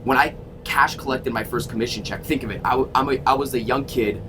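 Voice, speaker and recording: Fast-talking, emphatic sales coach man. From Toronto, Canada. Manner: energetic, emphatic, swears freely. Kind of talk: casual interview. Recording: constant vehicle rumble.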